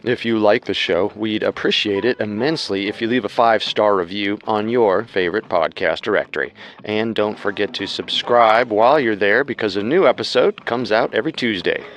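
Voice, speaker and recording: A man's voice: on the low side, moderate pace, few pauses, a bit bright, a little hiss or hum. A man hosting a podcast with scripted true-crime narration. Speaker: podcast co-host.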